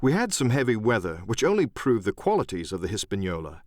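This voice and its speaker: A man narrating an adventure novel as dramatic, scripted storytelling in a measured literary style. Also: lowish voice, wildly swooping pitch, darkish tone, no echo, studio quality. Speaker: audiobook narrator and voice actor.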